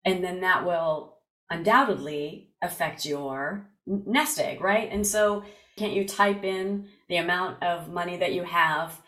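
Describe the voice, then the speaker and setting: A woman's voice: lowish voice, unhurried, fairly bright tone, roomy sound. A woman hosting a podcast, giving an enthusiastic scripted intro and then chatting casually with her guest. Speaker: podcast host. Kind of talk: casual interview chat.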